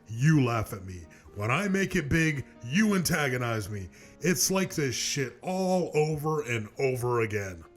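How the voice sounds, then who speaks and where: A man playing a defensive, aggrieved loudmouth in a scripted web cartoon, whiny and argumentative. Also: a little high.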